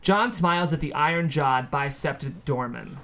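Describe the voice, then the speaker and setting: A man's voice: on the high side, measured pace, gravelly, fairly bright tone. A man reading out the stage directions as narrator at a screenplay table read.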